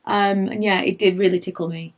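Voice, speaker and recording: A woman in her late thirties, slightly hoarse from losing her voice, chatting through her makeup favourites and wandering off on tangents. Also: mid-range voice, brisk. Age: late 30s. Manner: rambling, goes off on tangents; animated, rises when indignant. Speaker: beauty vlogger.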